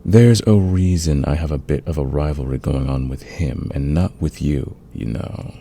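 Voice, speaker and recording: A man playing a smug, flirtatious fantasy villain in a romantic ASMR roleplay, teasing and intimate with a husky, gravelly voice. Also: rumbling low, dark tone, slight background noise. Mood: confiding, smitten. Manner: teasing, intimate, drawn-out confiding delivery. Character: flirtatious, smug male fantasy villain, secretly smitten rival.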